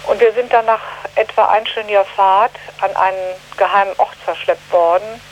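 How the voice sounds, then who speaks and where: A woman giving a spontaneous eyewitness account, earnest and halting as she searches for her words. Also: mid-range voice, fairly even intonation, low-quality recording. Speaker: human rights delegation member giving eyewitness account.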